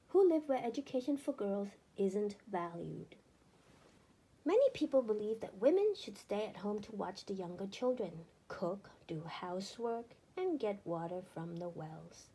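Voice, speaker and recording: A woman narrating a children's book aloud in an expressive, deliberate storytelling manner with emphatic phrasing. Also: on the high side, breathy edge, rough recording.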